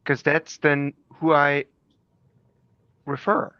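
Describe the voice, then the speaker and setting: A man's voice: a little high, little pitch movement, slow pace, bright, forceful tone, no echo. Man from Germany, dull close audio. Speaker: group call participant. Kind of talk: conversational explaining with anecdotes.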